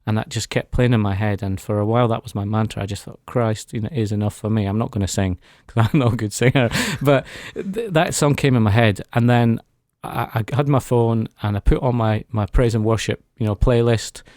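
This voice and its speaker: A man's voice: fairly low pitch, fairly quick, hardly any pauses, a little mellow, dry recording, studio quality. A man speaking as a guest in a radio interview, giving an earnest, reflective personal testimony. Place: radio studio.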